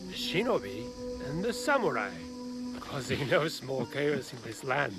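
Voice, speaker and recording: A man's voice: medium pitch, very animated, unhurried, over loud music. An actor playing a courteous, devout European man in a video game, speaking in a formal, reflective way. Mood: solemn, confiding. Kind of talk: video game cutscene acting.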